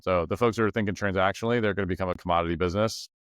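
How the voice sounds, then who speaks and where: Podcast host, male, deep and smooth, chatty, thinks out loud, dry close sound. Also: fairly even intonation, racing, dry recording. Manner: talkative, thinks aloud with many "right?" and "like". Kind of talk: casual interview chat.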